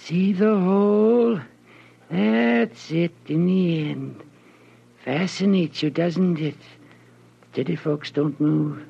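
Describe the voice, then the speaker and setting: Vintage radio character, fussy snake expert, high creaky voice, slow, coaxing, eccentric. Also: male voice, dark tone, heavily acted. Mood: calm warning. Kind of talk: 1940s radio character acting. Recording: dull old radio recording.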